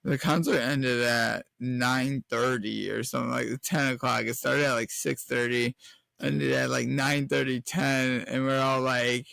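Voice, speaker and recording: A young man with a creaky, mid-pitched voice shares stories casually. His telling is loose and informal, built up bit by bit. The recording is dry and close. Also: steady tone, measured pace, pushed and bright, no room sound. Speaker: co-host. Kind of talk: casual storytelling chat.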